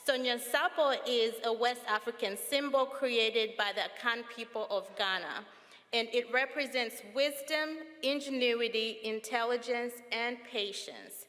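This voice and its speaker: Bright-voiced woman speaking in a reverberant hall. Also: a little high. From Zambia. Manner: earnest, explanatory, measured. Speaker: professor, ceremony speaker. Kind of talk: formal ceremony speech. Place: large auditorium.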